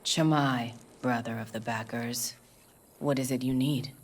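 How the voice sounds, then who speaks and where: A woman playing a self-possessed nomad fixer in a video game, speaking in a measured, formal, enigmatic way. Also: very deep voice, fairly even intonation, a little hiss or hum. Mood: calm, dry.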